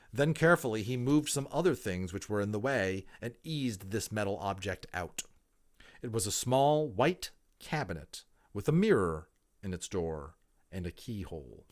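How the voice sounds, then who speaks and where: Low-voiced man reading with very lively intonation. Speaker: audiobook reader. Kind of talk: dramatic storybook narration with casual asides.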